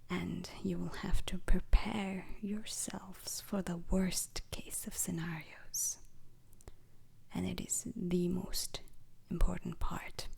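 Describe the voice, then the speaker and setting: Slow whispering woman as a space academy instructor. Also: lowish voice, a little mellow, exaggerated voice. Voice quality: breathy whisper. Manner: slow, deliberate, formal, instructional. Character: scarred retired space-mission veteran lecturing recruits, formal and grave. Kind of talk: whispered ASMR roleplay lecture.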